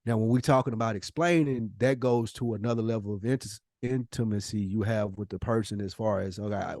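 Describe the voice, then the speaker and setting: A man's voice: mid-range voice, darkish tone, no room sound, studio quality. A man co-hosting a casual podcast conversation, talking in an emphatic, probing way with plenty of slang. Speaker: podcast co-host.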